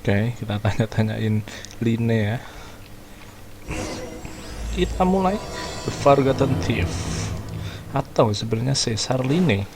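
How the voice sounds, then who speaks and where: A man's voice: medium pitch, animated, measured pace, long pauses. Chatty man commentating over game music. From Indonesia. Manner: chatty asides to viewers. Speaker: gaming streamer. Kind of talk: casual gameplay commentary.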